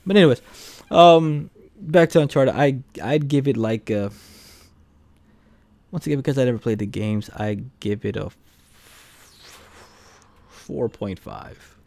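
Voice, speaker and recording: A man's voice: medium pitch, a little slow, gravelly, long pauses, darkish tone. A man co-hosting a film review podcast in casual, blunt, slangy conversation. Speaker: podcast co-host reviewing films. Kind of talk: casual chat.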